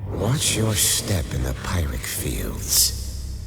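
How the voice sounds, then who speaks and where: A stern undead lich, commands in a very low voice that swings widely in pitch. The delivery is terse and imperious, in the manner of a dark fantasy radio play, with music clearly present behind it. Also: male voice, sing-song, extremely slow, full character voice. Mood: grave, instructive. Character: commanding undead lich speaking into a vampire's mind.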